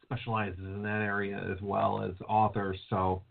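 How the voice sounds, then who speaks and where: A man's voice: fairly low pitch, flat delivery, normal speed, gravelly. A man hosting a casual podcast chat, rambling along with lots of fillers. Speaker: show host. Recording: phone-like call audio.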